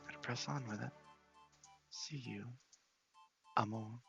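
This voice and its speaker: A man giving casual, understated ASMR gameplay commentary in a breathy half-whisper close to the mic. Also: medium pitch, fairly even intonation, unhurried, a bit bright, dull audio, faint music behind. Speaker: video game player and host.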